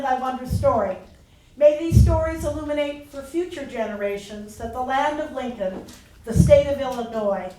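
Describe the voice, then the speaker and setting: High-voiced woman presenter in echoey hall. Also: warm tone. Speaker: narrator and presenter. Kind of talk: scripted stage narration. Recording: echoey hall, distant mic, faint music.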